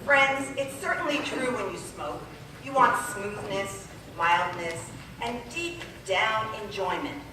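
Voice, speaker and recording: Female 1940s radio ad announcer, played by a woman: mid pitch, emphatic sell, distant and swimming in room echo. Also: slow pace, lightly acted. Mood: persuasive. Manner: emphatic, persuasive sales delivery. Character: smooth-selling 1940s radio cigarette announcer. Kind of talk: 1940s radio sponsor advert. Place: amateur stage show in a large echoey room. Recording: echoey hall from far off, noisy.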